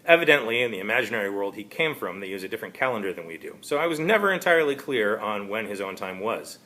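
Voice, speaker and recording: A man giving an amateur reading of a first-person story, narrating in a halting, wry way. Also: fairly low pitch, big pitch swings, bright, forceful tone. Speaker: author reading his own story.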